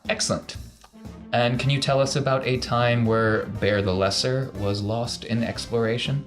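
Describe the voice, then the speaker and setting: A man's voice: mid-range voice, steady tone, normal speed, faint music behind. A man hosting an actual-play tabletop role-playing podcast as game master.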